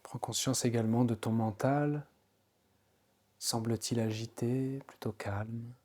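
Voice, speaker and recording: Male yoga nidra guide, medium pitch, soft warm timbre, calm instructional delivery with spacious pauses. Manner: slow, soothing, unhurried instruction with pauses. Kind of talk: guided relaxation meditation.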